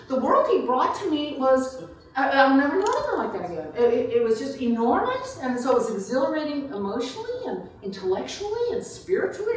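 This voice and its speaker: A woman author answering audience questions in a casual, digressive way, thinking aloud in broken, informal phrases. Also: high-pitched. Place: reception room before a small audience. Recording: echoey room, picked up from far off.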